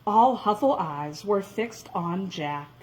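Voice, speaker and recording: A woman reading a children's story aloud in a dramatic, expressive way, drawing out words for emphasis. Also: medium pitch, slow pace, poor audio.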